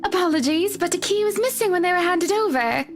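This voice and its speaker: A genteel, wistful wife from a visual novel. Her voice sits high and has a noticeable creak. She is polite and measured, like a lady of a big house. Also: animated, faint music behind. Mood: polite, resigned. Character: married woman, genteel, wistful, polite new mansion owner. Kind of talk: visual novel game acting.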